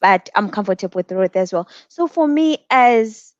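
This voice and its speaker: A young woman from Zimbabwe giving earnest, measured reflections as a guest speaker at an online event, pausing between thoughts. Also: medium pitch, expressive, dry recording. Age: young adult. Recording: dull, dry lo-fi sound.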